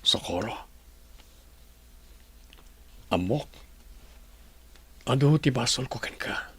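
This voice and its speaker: A man playing an earnest, remorseful husband in an Ilocano radio drama, with a gravelly, husky voice and an Ilocano accent. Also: mid-range voice, expressive, slow pace, full character voice. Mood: earnest, pleading. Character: earnest, remorseful husband pleading with his wife.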